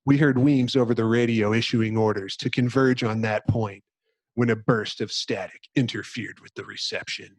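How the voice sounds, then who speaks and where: A man's voice: fairly low pitch, steady tone, normal speed, no echo. A man hosting a podcast, speaking in a casual but presentational way into a close microphone. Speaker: podcast host. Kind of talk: casual chat with dramatic book reading.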